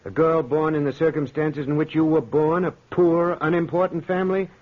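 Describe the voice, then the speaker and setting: An actor playing a cold, controlling husband of sixty, stern and condescending, in an old-time radio drama. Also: male voice, a little high, steady tone, normal speed, lightly acted. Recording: muffled old radio recording.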